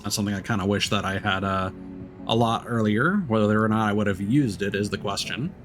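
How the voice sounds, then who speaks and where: A man's voice: on the low side, fairly quick, a bit bright. A man giving casual video game commentary as a streamer, talking in a reflective, meandering and hesitant way. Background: background music.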